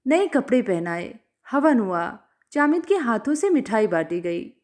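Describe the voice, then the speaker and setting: A woman reading a story aloud as a scripted, expressive narration. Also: a little high, unhurried. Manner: expressive, acts out dialogue.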